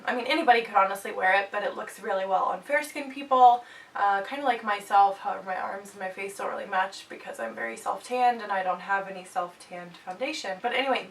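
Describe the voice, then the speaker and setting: A woman's voice: mid-range voice, fairly bright tone. Young woman, stuffed-up nose, bubbly chatty review, a bit off-mic, faint hiss. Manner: bubbly, chatty, jokey asides. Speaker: beauty vlogger. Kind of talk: casual chatty product review. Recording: slightly distant mic, faint background hiss.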